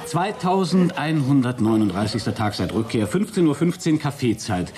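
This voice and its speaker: A man's voice: mid-range voice, sing-song, normal speed, music in the background, lightly acted. A man playing a wry astronaut in a radio play, dry and playfully mock-official. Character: wry male astronaut playing space flight at home. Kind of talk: German radio play acting.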